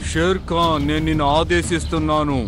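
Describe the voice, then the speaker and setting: A man's voice: a little high, flat delivery, brisk, music in the background, close to an everyday voice. A man voicing a proud, blustering animal king of the forest in a children's cartoon, theatrical and declamatory. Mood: boastful, commanding.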